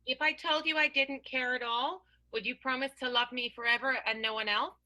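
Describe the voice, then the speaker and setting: A woman's voice: high voice, fairly even intonation, fairly quick, sharp tone, low-quality recording. A woman voicing a lovesick young woman in an improvised radio play, melodramatic and breathless. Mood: lovestruck.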